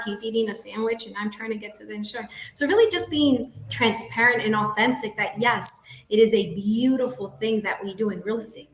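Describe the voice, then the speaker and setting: A woman's voice: medium pitch, slight background noise. Colombian woman in her 30s, spanish is her first language, earnest, lots of restarts, sounds like an echoey room. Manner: earnest, laughs often, restarts sentences. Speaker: guest, realtor. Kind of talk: casual interview talk.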